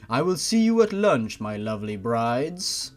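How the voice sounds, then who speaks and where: A man voicing a smug, cruel, gentlemanly demon captor in a horror fan fiction, with a slow, condescending, taunting manner. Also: medium pitch, sing-song, faint music behind. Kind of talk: creepypasta fan fiction character reading.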